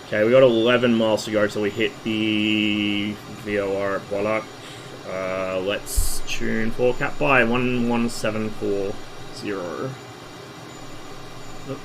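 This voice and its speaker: Hoarse male streamer, lowish voice, relaxed explainer with lots of ums, faint hum underneath. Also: takes it slowly. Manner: explaining, easygoing, hesitant fillers. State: losing his voice after a flu. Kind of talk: casual flight-sim commentary.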